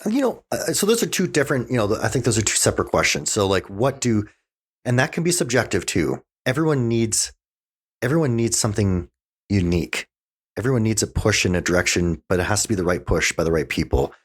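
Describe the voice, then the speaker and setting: A man in his forties from Canada with a fairly low voice, a guest on a podcast. He talks casually and gets animated and emphatic, stumbling over restarts and filling gaps with "you know" while speaking close to a desk mic. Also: brisk, breathy edge. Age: 40s. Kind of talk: casual conversation. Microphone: desk mic he keeps knocking.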